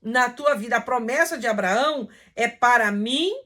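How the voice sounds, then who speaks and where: A woman's voice: fairly high pitch, normal speed, sharp tone. A woman with a Brazilian accent teaching a Bible lesson as an informal sermon, emphatic and didactic, moving between verses read aloud and conversational explanation. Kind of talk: informal sermon with scripture reading.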